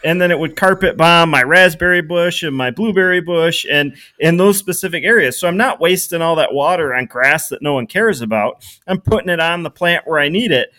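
A man brainstorming casually on a podcast in an animated, playful way. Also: on the high side, brisk, few pauses. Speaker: podcast panelist pitching an invention. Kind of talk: casual excited brainstorming chat.

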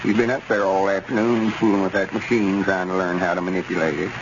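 An actor playing a folksy old country storekeeper with a rural Southern drawl in a 1930s radio comedy serial. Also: male voice, low-pitched, fairly even intonation, fast talker, close to an everyday voice. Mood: folksy, pleased. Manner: drawling rustic dialect. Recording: muffled, noisy 1930s radio recording.

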